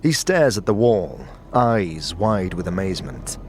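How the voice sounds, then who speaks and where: A man narrating a dramatic second-person story in a measured, atmospheric manner. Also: deep voice, big pitch swings. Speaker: narrator and lead player.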